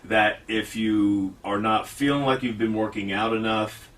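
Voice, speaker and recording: A man's voice: fairly low pitch, steady tone, a little slow, fairly bright tone, distant mic. A man hosting a fitness radio show, talking casually, rambling and mocking himself. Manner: rambling, self-mocking, restarts often. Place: radio studio.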